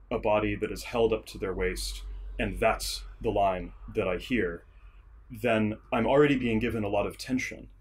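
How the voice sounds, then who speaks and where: A man speaking as an interview guest, giving measured, articulate analysis as a theatre scholar and director. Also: fairly low pitch, slightly rough. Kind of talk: thoughtful analytical interview talk.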